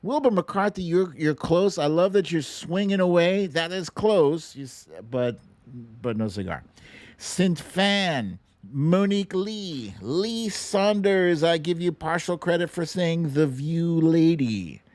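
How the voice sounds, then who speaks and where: A man's voice: on the high side, lively intonation, takes it slowly, a little mellow, no room sound. A man hosting a casual livestream quiz, chatty and playful as he banters and calls out to viewers. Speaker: host of a live online show.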